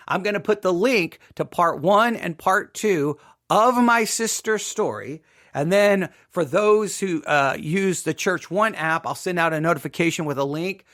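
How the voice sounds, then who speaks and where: Man, high-pitched voice, podcast host, personal storytelling, emphatic, repetitive phrasing. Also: a bit bright. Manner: emphatic, repeats phrases for effect.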